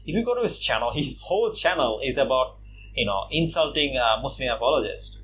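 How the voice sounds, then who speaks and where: A man from Bangladesh giving casual response commentary on a video, mocking his opponent with scoffing laughs and lots of "uh" and "you know" pauses. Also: medium pitch, lively intonation, fairly bright tone, slight background noise, low-quality recording. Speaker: YouTube commentator.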